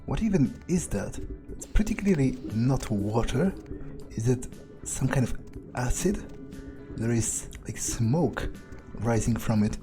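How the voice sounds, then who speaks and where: A man's voice: mid-range voice, expressive, slow pace, over music. A man muttering and swearing as he thinks aloud in character while playing a game. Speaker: game player.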